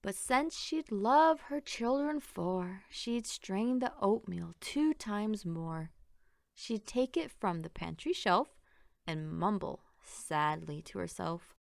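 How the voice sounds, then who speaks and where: A woman reads a rhyming picture book aloud for children. She takes her time, shaping each line with an expressive, sing-song lilt. Her voice sits at an average pitch in a dry sound with little room on it. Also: slow, gravelly, no echo. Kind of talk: read-aloud children's storytelling in rhyme.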